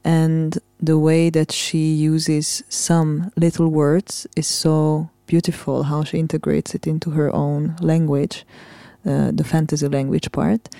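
A gravelly, mellow voice with a flat delivery, from a Hungarian-native singer and composer hosting the interview. The speech is deliberate and earnest. It sounds dry and close. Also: medium pitch, dry recording. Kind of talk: interview questions.

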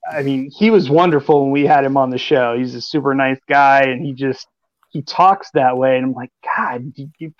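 A man's voice: mid-range voice, moderate pace, dry recording, lo-fi sound. A man co-hosting a casual music podcast, rambling and hesitant with many fillers. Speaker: podcast co-host.

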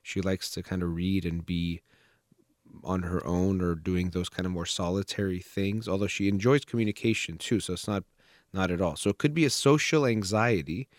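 A low-pitched man in a counseling session talks a parent through their child's shyness. His delivery is calm and measured, with frequent fillers and restarts. The sound is dry and close, with no room echo. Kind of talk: consultation with a parent.

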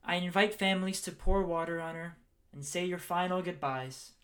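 Woman playing a stern cleric, low voice. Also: moderate pace, natural voice. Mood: solemn. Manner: solemn, declamatory, commanding. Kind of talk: radio play acting.

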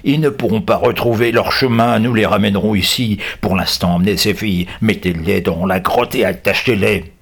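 A man's voice: lowish voice, normal speed, creaky, hardly any pauses, husky. A man voicing a stern, commanding old gang elder in a children's adventure story.

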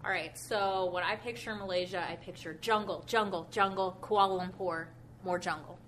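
A woman's voice: medium pitch, steady tone, moderate pace, a bit bright, a little hiss or hum. A woman hosting a podcast, talking in a playful, easygoing way. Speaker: podcast host. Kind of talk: casual chat.

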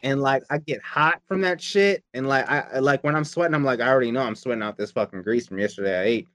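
A man in easy, casual conversation, his voice sitting at an ordinary middle pitch and recorded dry and close with no room sound. He rambles, repeats phrases and swears casually as he talks. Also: quick pace, fairly bright tone, no room sound. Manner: rambling, profane, repeats himself. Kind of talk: casual chat.

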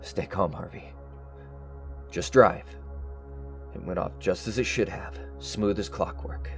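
An actor playing a smug, scheming murderer after an inheritance in an audio drama, speaking theatrically. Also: male voice, deep voice, measured pace, vocal fry, over music. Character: scheming murderous male heir, smug, feigning grief.

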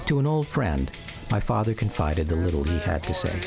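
A man narrates a personal memoir for a radio drama. His voice is on the low side and moves with lively inflection, in measured storytelling phrases over clearly audible music. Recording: music bed under the voice.

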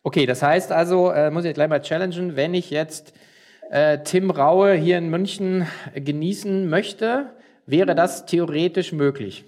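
A man hosting a business podcast, talking in a relaxed way with many fillers and restarts. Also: fairly high pitch, unhurried. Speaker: podcast host. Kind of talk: casual interview.

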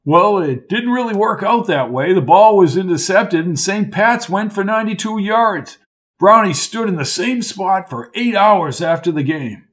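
A man's voice: high voice, animated, moderate pace, fairly bright tone, pitched above the performer's own voice. A man doing a chipper, eccentric old coach.

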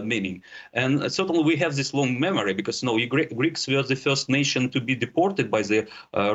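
A man's voice: mid-range voice, a bit bright, lo-fi sound. A man from Ukraine, a native Russian speaker, speaking with a Ukrainian accent as a guest, hesitant with many fillers and restarts. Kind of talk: conversational discussion.

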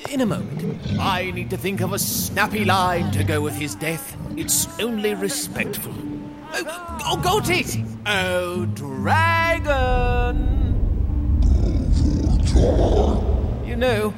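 Pompous eccentric wizard mentor, high bright and swooping, over heavy score. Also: male voice, animated, slow pace. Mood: smug. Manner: theatrical, pompous, distracted. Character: eccentric flamboyant male wizard mentor, callous and witty. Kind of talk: audio drama acting. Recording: heavy music score behind.

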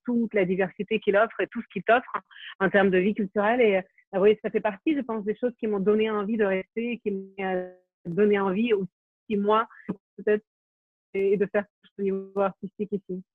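A woman in her forties from Ukraine speaks over a thin, phone-like line with a dry, close sound. She talks thoughtfully as an interview guest. She often pauses on fillers and restarts her sentences. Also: medium pitch, no room sound. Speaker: film director, interview guest. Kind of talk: casual interview over a video call. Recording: tinny phone-like call audio, dry.

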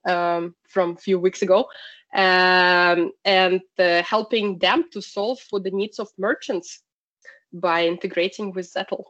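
A woman from Russia, a podcast guest introducing her product work in casual chat with frequent fillers. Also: lowish voice. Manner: speaks with frequent fillers, slightly non-native grammar. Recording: dull lo-fi call audio.

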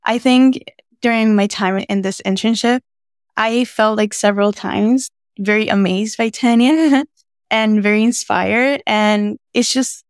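A woman's voice: mid-range voice, measured pace, smooth, dry recording, clean studio sound. A young woman from Venezuela, a student intern, speaking thoughtfully in a casual podcast conversation. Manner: thoughtful, uses many fillers.

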